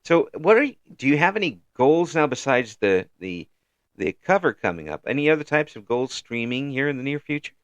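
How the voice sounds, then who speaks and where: A man in his fifties hosting a casual chat interview, talking in a halting, rambling way with repeated words. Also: mid-range voice, big pitch swings, no echo, dull audio. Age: 50s. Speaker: interview show host.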